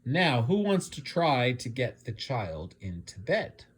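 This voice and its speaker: Man voicing a fussy, animated monster schoolteacher. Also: mid-range voice, slow, husky, exaggerated voice. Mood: brisk, teacherly. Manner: scolding, instructive, emphatic. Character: strict, fussy male monster teacher, long-necked and yellow. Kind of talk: children's storybook character voice.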